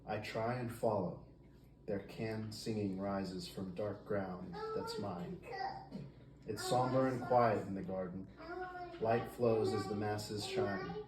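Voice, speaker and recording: A woman reading a poem aloud. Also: extremely low pitch. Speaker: family member reading a poem. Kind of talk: poetry reading. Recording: echoey, distant mic, clear background noise.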